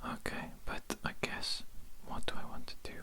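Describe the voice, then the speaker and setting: Casting: ASMR whisperer as a fussy, curious, polite doctor; fully whispered, breathy, repetitive murmuring. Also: moderate pace. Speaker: ASMR performer role-playing a doctor examining a patient. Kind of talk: whispered ASMR medical roleplay.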